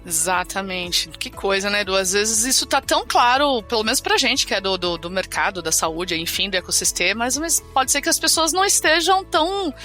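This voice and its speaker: A woman's voice: medium pitch, animated, fairly quick, few pauses, bright, forceful tone, soft background music. A woman co-hosting a health podcast, chatty and upbeat, often tagging her remarks with a questioning aside. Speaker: podcast co-host. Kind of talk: casual health podcast chat.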